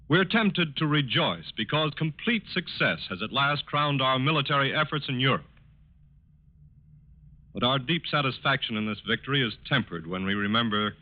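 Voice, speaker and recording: A man with an American accent doing scripted 1940s radio announcing in a formal, measured, solemn way. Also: mid-range voice. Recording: dull lo-fi 1940s radio recording.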